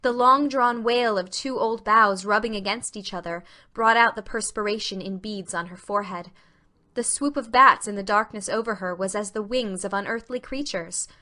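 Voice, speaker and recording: A woman narrating a novel as a dramatic reading, with expressive literary storytelling. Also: medium pitch, normal speed.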